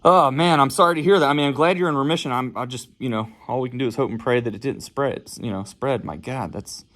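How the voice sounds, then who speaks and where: A man's voice: medium pitch, fast talker, a bit bright. A man talking sympathetically as an interviewer in a casual phone conversation.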